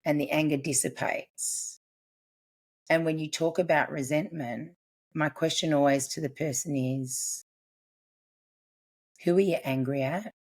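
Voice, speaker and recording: A woman's voice: sits low, darkish tone, poor audio. A woman, a family constellations practitioner and podcast guest, explaining her ideas earnestly in casual chat. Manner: earnest, explanatory, quick bursts.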